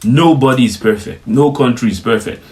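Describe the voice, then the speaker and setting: A man's voice: medium pitch, moderate pace, darkish tone. Young adult man from Africa, mid-range voice; emphatic, chatty vlog reaction, peppered with slang. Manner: emphatic, repeats "bro" and "you get me". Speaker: vlogger reacting to footage. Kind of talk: casual reaction commentary.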